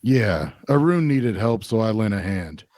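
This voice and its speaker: A man playing an obliging small-town barkeep in a fantasy audio drama, plain-spoken, polite and unhurried. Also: lowish voice, very animated, darkish tone, dry recording. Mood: grave, confiding. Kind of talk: audio drama table-read dialogue.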